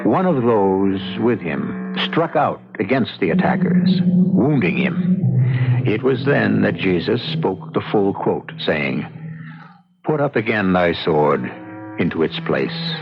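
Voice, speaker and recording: A man hosting a radio mystery anthology with theatrical, deliberate scripted narration and weighty pauses. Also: lowish voice, sing-song, creaky. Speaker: radio drama host. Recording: dull lo-fi radio, loud music behind. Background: loud music.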